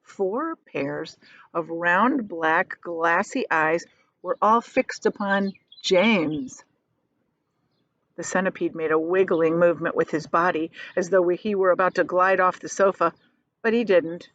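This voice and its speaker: A woman teacher reading a children's storybook aloud expressively, pausing to explain things in simple, casual words. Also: lowish voice, fairly bright tone, no room sound, dull audio.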